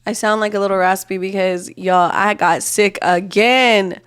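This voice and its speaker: A young woman with a cold, raspy and congested, co-hosting a casual family podcast and chatting to her listeners. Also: medium pitch, fairly even intonation, a little slow, fairly bright tone. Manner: chatty, lots of "like" and "you guys". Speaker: podcast co-host. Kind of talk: casual chat.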